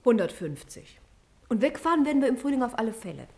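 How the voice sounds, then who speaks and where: An actress playing a painter's practical, patient wife who is matter-of-fact and gently bossy in a radio play. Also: female voice, on the high side, very animated, quick pace, breathy edge, full character voice. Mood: brisk, practical.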